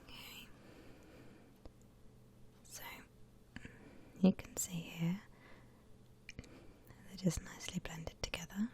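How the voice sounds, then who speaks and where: A woman whispering breathily and gently as she hosts an unhurried ASMR art tutorial. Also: fairly low pitch, steady tone. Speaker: host of an ASMR drawing channel. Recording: faint background noise.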